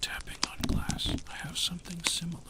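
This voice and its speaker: An ASMR streamer chatting casually to viewers, soft-spoken and drifting into whispers, close to the microphone. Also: fairly quick.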